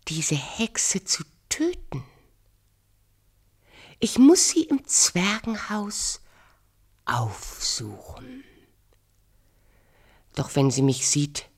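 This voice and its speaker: Wicked fairy-tale queen for radio play: vain, commanding, scheming, big melodic swings, measured pace. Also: low voice, slightly rough, full character voice. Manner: theatrical, commanding, swinging between outcry and hiss.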